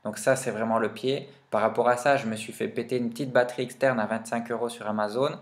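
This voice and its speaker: A man's voice: mid-range voice, steady tone, fast. A man talking informally and with plenty of slang as he explains gear in a casual vlog review. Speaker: vlogger reviewing motorbike and quad gear.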